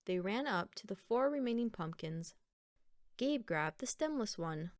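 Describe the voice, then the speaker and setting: A woman narrating a children's picture book aloud in a deliberate, expressive storytelling manner. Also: medium pitch, no echo, clean studio sound.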